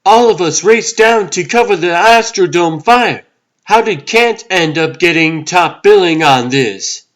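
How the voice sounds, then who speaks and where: A man's voice: high-pitched, animated, a little slow, fairly bright tone. A man playing a sceptical, resentful newspaper reporter in a comic book dub. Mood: resentful, sarcastic.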